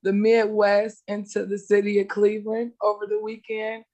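A woman guest speaking on a community radio show over a video call. Her voice is slightly raspy, and she answers in a friendly, upbeat way. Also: mid-range voice, monotone, moderate pace, a little mellow. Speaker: guest, small business owner. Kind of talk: interview answers.

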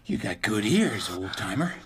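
An actor playing a brash, impatient smuggler captain in a radio drama, sardonic and slangy. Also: male voice, fairly low pitch, very animated, normal speed. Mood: irritable.

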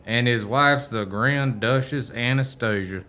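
A man's voice: mid-range voice, measured pace, pushed and bright. A man playing a folksy, teasing country camp dweller in a radio play, full of homespun idioms. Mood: chummy.

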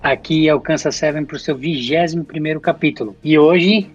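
A Brazilian man with a fairly high voice hosts a casual group podcast. He banters with slang and teases freely, over faint background music. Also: normal speed, highs cut off. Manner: teasing, slangy. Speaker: podcast host.

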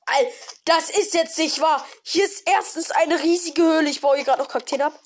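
A woman talks through her gameplay in a relaxed, chatty way, breaking into excited exclamations and stumbling over fillers. She has a cold, and her voice sits a bit high. A faint hiss runs under the recording. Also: normal speed. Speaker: gaming video creator. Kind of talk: casual let's-play commentary.

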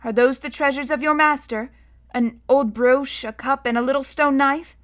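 A woman voicing a calm, curious, brave young boy in a storybook, speaking plainly and earnestly. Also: high voice, moderate pace, voice pushed up. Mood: bold, questioning.

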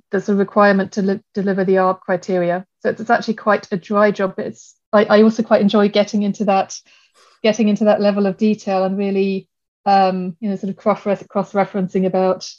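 A woman's voice: medium pitch, a little mellow, highs cut off. A woman architect who grew up in Germany, answering interview questions in a thoughtful way, often restarting her sentences. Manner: thoughtful, many restarts and fillers. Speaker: architect and guest.

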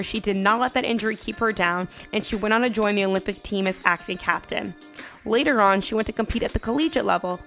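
A woman's voice: medium pitch, a bit bright. A woman in her twenties hosting a podcast, upbeat and chatty, often saying "like". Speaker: podcast host. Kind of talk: casual interview chat.

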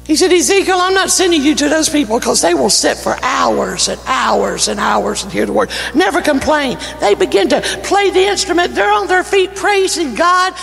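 A man with a North Carolina Southern accent, an evangelist praying and preaching a fervent sermon that builds to shouting in a church. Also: extremely high pitch, lively intonation, normal speed, vocal fry, hardly any pauses. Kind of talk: sermon with opening prayer. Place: church sanctuary. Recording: church hall reverb, faint music.

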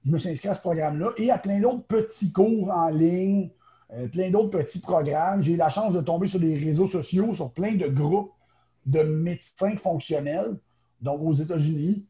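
A man with a Quebec French accent, a naturopath telling his story in a casual interview, quick and full of restarts. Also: a little high, warm tone. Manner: chatty, quick, many fillers and restarts. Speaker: guest, naturopath.

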